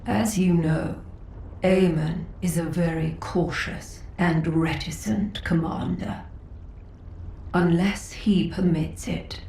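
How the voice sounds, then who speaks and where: An actress voicing an ailing, dignified grand master of a sci-fi council in a video game, frail and breathy, with formal, halting speech. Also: female voice, fairly low pitch, dark tone, echoey room, slight background noise, low-quality recording. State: unwell, coughing. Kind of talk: video game story dialogue.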